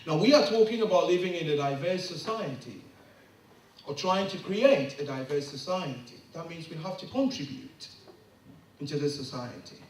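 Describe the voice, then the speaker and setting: A man's voice: a little high, slight background noise. A middle-aged man from Ghana, giving an impassioned public address full of rhetorical questions that rise to emphatic peaks. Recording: very echoey room, mic from a distance.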